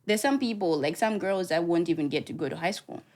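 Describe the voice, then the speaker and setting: A young woman in her twenties answers interview questions in a relaxed, chatty way, filling her talk with "like" and "right?" and laughing easily. Her voice sits a little low for a woman. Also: fairly quick. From Zimbabwe. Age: 20s. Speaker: interview guest, university student. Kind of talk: casual conversational interview.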